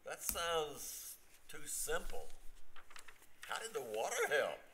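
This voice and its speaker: An older man acting as a matter-of-fact family man in an amateur stage reading. His bright, firm voice moves slowly and haltingly, with a dry, mildly exasperated edge. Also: fairly high pitch, big pitch swings, slight background noise, full character voice.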